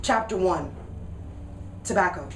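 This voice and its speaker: A woman from Kentucky with an American accent delivers a theatrical spoken word monologue in dramatic, deliberate phrases with pauses. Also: lowish voice, expressive, very noisy. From Kentucky, United States. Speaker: solo stage performer and spoken word poet. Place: radio studio live performance. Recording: roomy echo, distant from mic.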